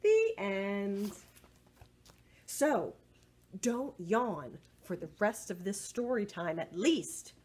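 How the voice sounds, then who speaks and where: A woman's voice: medium pitch, very animated, drawn-out words, darkish tone. A middle-aged woman leading a children's storytime in a playful, sing-song way, recorded indoors on a device a little distance away. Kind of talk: children's storytime read-aloud and action songs.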